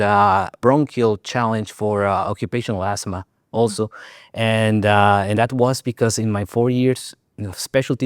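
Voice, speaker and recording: A man's voice: lowish voice, a little mellow, dry recording, studio quality. A man from Guatemala speaking English as a second language after Spanish, a doctor telling his career story in an interview, with many fillers and restarts. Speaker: allergist guest. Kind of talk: conversational interview.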